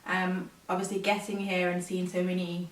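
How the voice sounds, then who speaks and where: A woman in her late twenties, from Nigeria and speaking, telling stories as a guest in relaxed chat. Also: fairly low pitch, moderate pace, recorded from a distance. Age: late 20s. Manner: relaxed storytelling, laughs often. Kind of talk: casual chat. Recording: roomy, from off mic.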